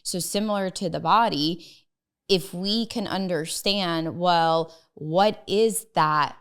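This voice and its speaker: A woman's voice: lowish voice, takes it slowly, smooth. A woman in her thirties whose first language is Russian, a dietitian guest on a podcast, telling her own story earnestly and thinking aloud with many fillers. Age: 30s. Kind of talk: podcast interview, personal story.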